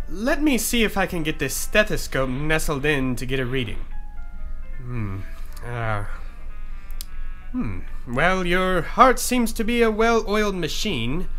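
An earnest, fussy doctor, acted by a man, in a pulp comedy audio play. His voice is bright and very varied in pitch, with music behind. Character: earnest, easily flustered male doctor. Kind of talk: comedic pulp radio drama.

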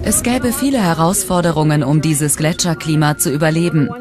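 A woman with a slightly low voice gives explanatory commentary for a documentary. It is a scripted voice-over with clear music underneath. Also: brisk. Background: background music.

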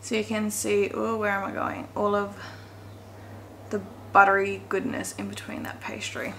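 A woman's voice: medium pitch, flat delivery, moderate pace, gravelly, a bit bright. A woman talking casually while she bakes at home, chatting and correcting herself as she goes. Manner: chatty, self-correcting, talks while working with hands. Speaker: home cooking vlogger. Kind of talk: casual baking walkthrough. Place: home kitchen, echoey. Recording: echoey kitchen with faint noise.

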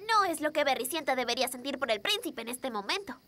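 A woman's voice: sits high, expressive, fast talker, heavy background noise. A woman voicing a bossy, dramatic young girl in a children's cartoon dub, speaking in an emphatic, theatrical way. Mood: commanding.

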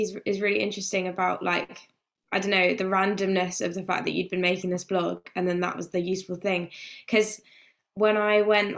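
A woman's voice: mid-range voice, creaky. A woman in her twenties speaking casually as a panelist on a video call, with fillers like "like" and "I don't know". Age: 20s.

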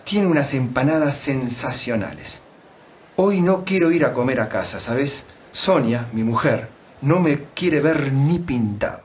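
A man's voice: mid-range voice, expressive, unhurried, a little hiss or hum, natural voice. A man playing a chatty Buenos Aires tango pianist. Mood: casual. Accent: Buenos Aires Spanish. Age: 40s. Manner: talkative, uses vos and che. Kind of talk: audiobook character reading.

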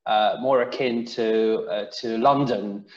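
A man's voice: lowish voice, fairly even intonation. A man with a Polish accent interviewing an author over a video call, stumbling over repeated words. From Poland. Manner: halting, many repeated words. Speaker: interviewer for a university press. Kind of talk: interview questions. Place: indoors room. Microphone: headphones on video call. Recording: dull echoey video call.

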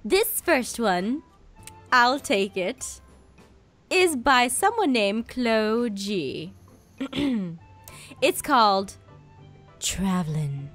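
A theatrical, excitable woman hosting and reading a story aloud amid playful banter. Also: on the high side, very slow, long pauses, faint music behind. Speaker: host reading fan fiction.

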